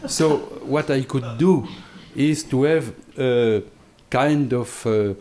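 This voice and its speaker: Slow, mellow French-accented man lecturing. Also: medium pitch. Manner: careful, hesitant English with many fillers and restarts. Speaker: invited law professor speaker. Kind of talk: academic conference lecture.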